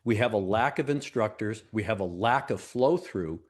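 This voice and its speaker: A man's voice: lowish voice, animated, moderate pace. A man giving blunt, emphatic expert commentary in an interview. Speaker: aviation expert.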